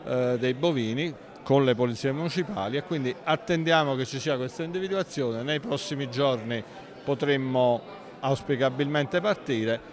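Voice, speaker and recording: A man gives formal interview answers as a mayor, in measured institutional phrasing. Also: mid-range voice. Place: large indoor council hall. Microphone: handheld interview microphone.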